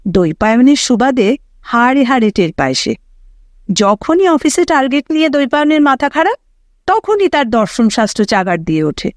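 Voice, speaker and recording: A woman reads a story aloud in a fairly high voice. Her narration is dramatic and expressive, giving life to what the characters think and say. The sound is dry, with no room around it. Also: normal speed, dry recording. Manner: expressive, voicing characters' thoughts and dialogue. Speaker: audiobook narrator.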